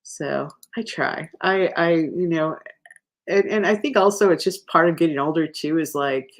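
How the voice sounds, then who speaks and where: A woman's voice: sits low, moderate pace. Chatty woman hosting a crochet live. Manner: rambling, many fillers and restarts, laughs often. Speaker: host of a live crochet stream. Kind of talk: casual rambling chat.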